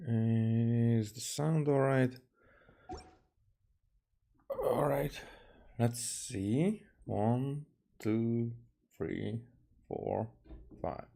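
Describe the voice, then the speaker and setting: A man from Hungary with a Hungarian accent, casually streaming a game and talking haltingly with many fillers and restarts. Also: medium pitch, gravelly, mellow. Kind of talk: casual livestream commentary, reading game dialogue aloud.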